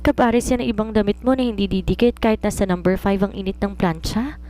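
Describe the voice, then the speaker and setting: A woman's voice: mid-range voice, fast, mellow, lightly acted. A woman voicing a timid, guilty teenage housemaid who is hesitant and apologetic in an audio story. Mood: tearful apologetic.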